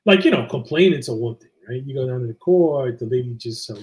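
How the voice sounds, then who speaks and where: A man's voice: medium pitch, expressive, fast talker, a loud crowd. A man co-hosting a casual live chat show, tossing in colloquial interjections and reading out viewer comments.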